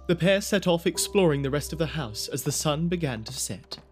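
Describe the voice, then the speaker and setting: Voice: mid-range voice, expressive, a little fast. A narrator telling a spooky audio drama story in scripted storytelling style. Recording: music behind the voice.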